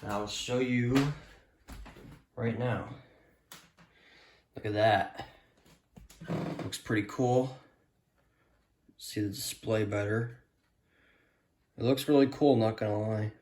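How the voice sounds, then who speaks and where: A man's voice: on the low side, steady tone, slow, long pauses, darkish tone. A man casually showing off a gadget on a vlog, unscripted, hesitant and repetitive. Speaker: weather radio hobbyist vlogger. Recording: echoey room with background hiss.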